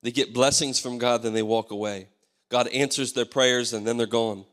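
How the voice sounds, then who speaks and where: A man in his thirties, a pastor whose voice is worn and hoarse, preaching a sermon into a handheld mic and calling for amens. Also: medium pitch, steady tone, moderate pace, fairly bright tone. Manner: preaching with call-and-response, asking the crowd for amens. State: losing his voice. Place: large church stage. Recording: live church hall reverb.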